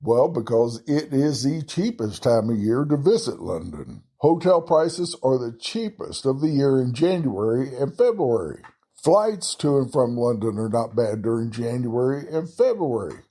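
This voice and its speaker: Texan guy chatting travel tips, folksy and easygoing, kinda husky. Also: mid-range voice, very animated, unhurried, dark tone. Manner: folksy, chatty, self-deprecating asides. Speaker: travel podcast host. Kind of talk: casual solo travel talk.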